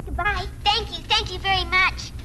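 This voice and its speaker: A woman's voice: very high-pitched, steady tone, moderate pace, crowd noise. An actress playing a polite, shy young farm girl in 1940s radio drama. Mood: polite, eager. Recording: 1940s radio broadcast with faint noise.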